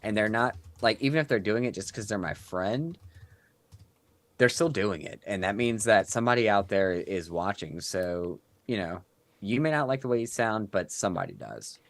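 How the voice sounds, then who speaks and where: A man's voice: lowish voice, sing-song, normal speed, no echo. A man with a nasal voice, a guest speaking casually in a podcast interview and giving advice. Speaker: guest content creator.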